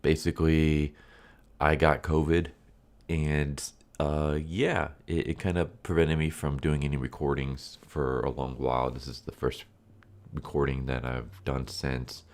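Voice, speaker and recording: A man's voice: extremely low pitch, little pitch movement, measured pace. A man reviewing a comic book in a casual, rambling way, his voice congested and stuffy from a recent illness. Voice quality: nasal, stuffy. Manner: rambling, full of fillers and restarts.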